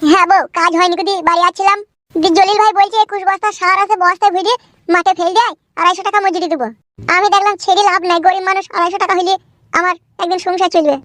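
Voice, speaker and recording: A man's voice: extremely high pitch, little pitch movement, fast talker, sharp tone, no room sound, studio quality. A man voicing a simple, sheepish but stubborn village son-in-law in a cartoon, bumbling and protesting. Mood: matter-of-fact. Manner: hesitant, bumbling, whiny protesting. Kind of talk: Bengali cartoon acting.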